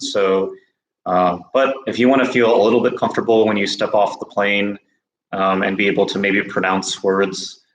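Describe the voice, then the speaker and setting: Brief: American man, lowish voice, relaxed livestream host, echoey room. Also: slight background noise. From United States. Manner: relaxed, many fillers and restarts. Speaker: host of a study-abroad livestream. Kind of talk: casual live Q&A chat.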